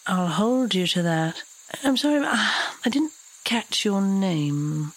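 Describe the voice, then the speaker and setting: Casting: actress as commanding female angel, mid-pitched, unhurried, formal and wry, fantasy audio drama. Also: animated. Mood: stern. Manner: formal, firm, coolly wry. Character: poised, commanding, self-assured female angel.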